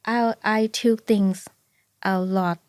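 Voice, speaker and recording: A woman's voice: medium pitch, steady tone, takes it slowly, a little mellow, no room sound. Thai-accented mother, dry close voice. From Thailand. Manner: candid, restarts sentences while recalling. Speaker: guest, mother of two. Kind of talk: casual interview chat.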